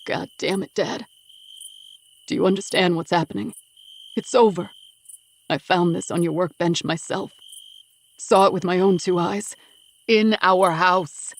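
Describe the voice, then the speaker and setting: A man's voice: high voice, fairly even intonation, measured pace, long pauses. Woman putting on a hurting young son, firm but his voice keeps breaking, a bit raised. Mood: pained confrontation. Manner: strained, pleading, firm. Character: young adult son, anguished and confronting his father.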